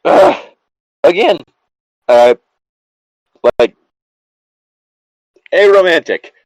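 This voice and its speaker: A man's voice: sits high, very animated, very slow, a little mellow, no echo. A man reading a story aloud in a casual style as a co-host, with bantering asides. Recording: dull lo-fi close recording.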